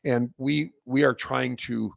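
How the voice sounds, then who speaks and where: Middle-aged Canadian-accented male host, gravelly voice, dry close sound, unscripted and rambling, fired up. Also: mid-range voice, steady tone, slow, no room sound. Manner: rambling, many fillers and restarts, gets fired up on his soapbox. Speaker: host of a product industry update. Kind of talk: unscripted solo monologue.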